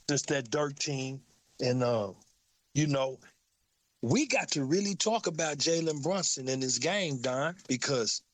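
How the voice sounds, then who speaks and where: A man with a Southern country accent co-hosting a casual sports talk show, getting animated as he talks. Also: no room sound. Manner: animated, excitable, rises high when hyped. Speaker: podcast co-host.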